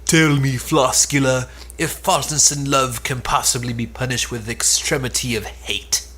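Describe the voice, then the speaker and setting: A woman's voice: a bass voice, fairly even intonation, measured pace, a bit bright, husky, heavily acted. A woman playing a proud, vengeful spurned earth goddess, declaiming archaic lines in an amateur cold read of an Elizabethan play. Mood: bitter, vengeful.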